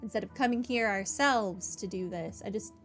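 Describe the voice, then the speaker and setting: A woman's voice: mid-range voice, normal speed, faint music behind. A woman hosting a video game playthrough, thinking aloud in casual, conversational commentary. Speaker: gaming video host.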